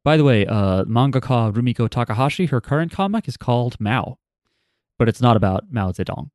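A man's voice: medium pitch, expressive, fairly quick, a little mellow, dry recording, clean studio sound. A man co-hosting a casual chat, rambling and thinking aloud with plenty of fillers. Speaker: podcast co-host.

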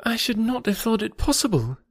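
A man voicing an eager, naive, excitable young woman with gushing, emphatic delivery in an audiobook of a classic novel. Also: high voice, moderate pace, dry recording, studio quality, pitched above the performer's own voice. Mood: agitated, earnest.

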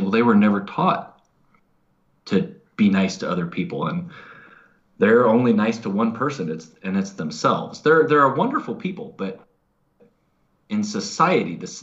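A man's voice: fairly low pitch, fairly bright tone, dull audio. An American man, a regular co-host, chatting casually and conversationally about his own experiences. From United States.

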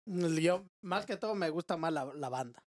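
A man's voice: on the high side, lively intonation, moderate pace, a little mellow, no room sound, studio quality. A man from Mexico chatting casually on a podcast, laid-back and laughing easily, with hesitant restarts. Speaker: guest. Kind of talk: casual chat.

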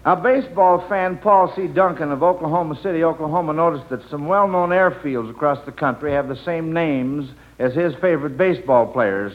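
A man with a slightly high voice hosts a children's radio quiz in a folksy, halting way. He leans on small interjections as he moves along. The sound is muffled, like an old broadcast. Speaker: quiz show host. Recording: dull vintage radio broadcast.

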